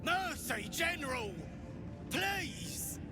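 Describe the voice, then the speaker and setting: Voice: high-pitched, very animated, extremely slow, exaggerated voice. An actor voicing a panicked, pleading goblin underling with coarse grammar in a video game. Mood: begging. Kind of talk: video game character acting. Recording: game audio with music behind.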